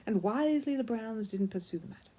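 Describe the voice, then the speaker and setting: A woman reading a children's story aloud as an expressive narrator. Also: on the high side, quick pace. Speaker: storyteller, audiobook reader.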